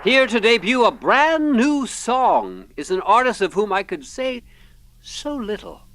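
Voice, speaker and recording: An actor playing a theatrical, grandiose master of ceremonies introducing acts in an old-time variety show. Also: male voice, sits high, very animated, measured pace, noisy background. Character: theatrical, grandiose male stage announcer, later exasperated.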